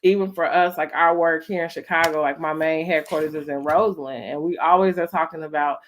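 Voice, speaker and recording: A woman's voice: deep voice, steady tone, fairly quick, a little hiss or hum. A woman with an American accent hosting a casual interview, talking conversationally with lots of "like" and "you know". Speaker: host of a family storytime interview.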